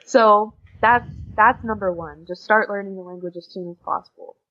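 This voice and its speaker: A woman's voice: mid-range voice, moderate pace. Young American woman, dull lo-fi sound. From United States. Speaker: podcast guest, exchange student. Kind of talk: casual conversational interview. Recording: dull lo-fi podcast recording.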